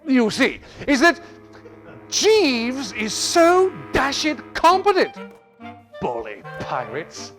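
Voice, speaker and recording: A comic, idle English gentleman in a stage monologue, flustered and exclamatory. The voice is high and leaps about wildly, unhurried, over faint music. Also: heavily acted. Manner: stammering, exclamatory, repeats words.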